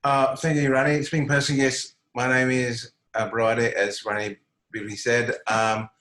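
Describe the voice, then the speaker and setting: A man in his fifties whose speech is slurred from lip paralysis, speaking carefully and deliberately as a panelist on a video call, with a dry, self-mocking humor. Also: medium pitch, flat delivery, a bit bright. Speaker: panelist, screen and performing arts producer. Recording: roomy video call audio.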